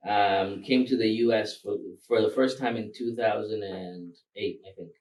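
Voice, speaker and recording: Rwandan guy, first language kinyarwanda, low voice, lots of ums and laughs, sounds kinda far from the mic. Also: normal speed. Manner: relaxed and rambling, with many fillers and laughs. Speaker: filmmaker guest. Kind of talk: casual interview.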